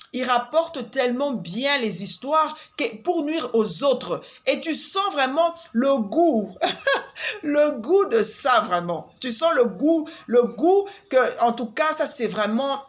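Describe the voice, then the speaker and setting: A woman from Congo with a Congolese French accent and Swahili as her first language, giving advice in a casual, hesitant monologue full of fillers and repeated words. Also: sits high. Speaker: vlogger giving life advice.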